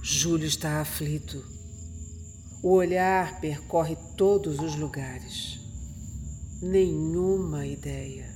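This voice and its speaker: Slow, dramatic Brazilian male narrator. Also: on the high side, fairly even intonation, a little mellow. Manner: dramatic, deliberate storytelling. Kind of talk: scripted horror radio drama narration. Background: music and night insects.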